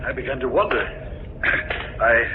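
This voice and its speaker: A wary, formal executor in a 1940s radio mystery. His mid-pitched voice is guarded and questioning. The old recording is thin and muffled, with clear noise. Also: lively intonation, slow pace. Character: Mister Sawyer, wary estate executor, formal man.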